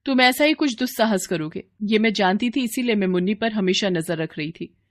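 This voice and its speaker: A woman's voice: mid-range voice, lively intonation, fairly quick, natural voice. A woman playing a kind, protective lady in a cartoon story, motherly and firm. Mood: stern. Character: kind, protective woman who scolds bullies. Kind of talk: Hindi moral cartoon story acting.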